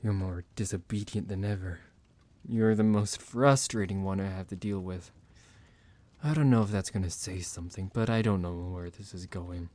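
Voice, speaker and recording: A man's voice: on the low side, a little mellow. A man playing a smug, psychotic vampire doctor in an ASMR roleplay, condescending, theatrical and menacing toward his captive. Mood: angry, threatening.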